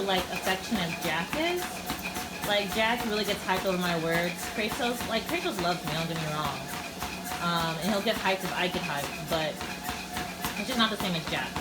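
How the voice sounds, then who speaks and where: A woman chatting casually in a vlog while training her dog, rambling and coaxing him along in a high sing-song voice. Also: very noisy. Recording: roomy echo with loud music behind.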